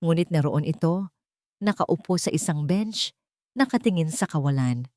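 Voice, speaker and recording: Female audio-story narrator, lower register, dramatic read, very dry sound. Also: expressive, normal speed, mellow, no room sound.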